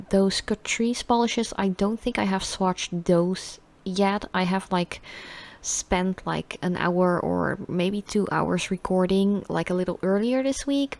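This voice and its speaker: A young Dutch woman with a Dutch accent, chatting casually and rambling through a beauty unboxing vlog, with lots of fillers. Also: lowish voice. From Netherlands. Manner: chatty, many fillers, soft asides. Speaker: beauty vlogger.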